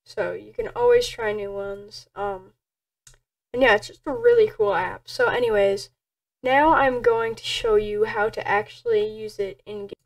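A woman with a high-pitched voice walks through a piece of software as a tutorial presenter. She talks casually and haltingly, feeling out each step as she goes. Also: animated, gravelly.

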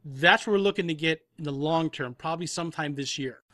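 A man's voice: fairly high pitch, brisk, a bit bright, dry recording. A man giving conversational interview answers as a guest producer, explaining things at length in rambling detail. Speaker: audio drama producer and composer, guest.

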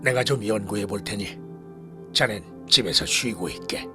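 A man playing an older professor, a grave paternal mentor with halting, old-fashioned speech, in a dramatized horror story. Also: on the low side, lively intonation, music in the background, close to an everyday voice. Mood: pensive, grave.

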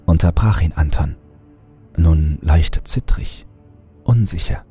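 A man narrating a scripted horror story in a measured way, with dramatic pauses. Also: a bass voice, warm tone, soft background music.

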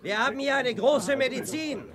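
High-voiced young adventure hero. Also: male voice, moderate pace, crowd noise. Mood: bold. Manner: urgent, animated. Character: bold, quick-witted, mischievous young male hero. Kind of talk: 1980s German children's audio drama acting.

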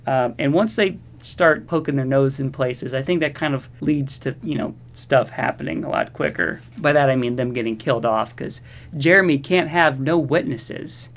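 A man's voice: medium pitch, normal speed. A man giving casual movie review commentary in a rambling, slangy, sarcastic way. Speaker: video host reviewing horror movies.

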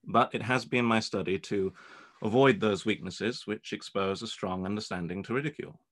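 A young man playing a proud, reserved English gentleman in a period radio play, formal and measured. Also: lowish voice. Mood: proud, guarded. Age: young adult. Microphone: video call.

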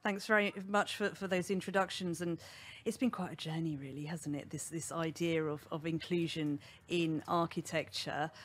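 A woman hosting a live radio panel discussion in a studio, speaking into a boom-arm mic. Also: fairly even intonation, normal speed. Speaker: radio host. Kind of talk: hosted panel interview. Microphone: studio mic on boom arm, headphones.

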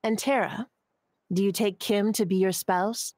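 A woman's voice: mid-range voice, fairly even intonation, a little slow, darkish tone, no echo, lightly acted. A woman voicing a kindly older wedding officiant in a video game. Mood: solemn, kindly.

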